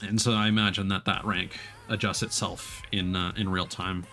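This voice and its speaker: Bright-voiced male game streamer. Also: deep voice, little pitch movement, moderate pace, soft background music. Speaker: livestreamer, gamer. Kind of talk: casual live gaming commentary with asides to chat.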